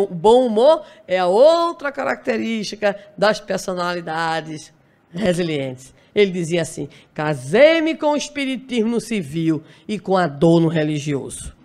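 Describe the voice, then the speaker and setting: A woman in her sixties from Brazil giving a spiritist lecture at a lectern, telling a life story with dramatic pauses. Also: a little high. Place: dim lecture hall stage at a lectern. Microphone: stand mic at lectern.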